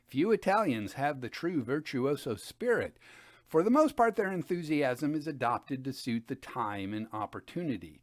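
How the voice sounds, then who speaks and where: A man giving a dramatic reading of gothic fiction, with theatrical, deliberate phrasing. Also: mid-range voice, no echo, clean studio sound. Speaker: storyteller reading stories by request.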